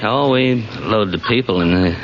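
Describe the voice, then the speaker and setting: A man's voice: on the low side, moderate pace, soft background music. An American man working as a roller coaster operator, giving short, matter-of-fact answers as a quiz contestant. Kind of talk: short plain answers on air. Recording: muffled, very noisy 1949 radio recording.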